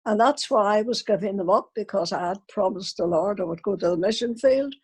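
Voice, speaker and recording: A woman's voice: mid-range voice, brisk, a little mellow, slightly rough. An elderly woman, a grandmother, telling personal family stories unscripted and acting out remembered conversations. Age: older, elderly grandmother. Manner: anecdotal, acts out remembered dialogue.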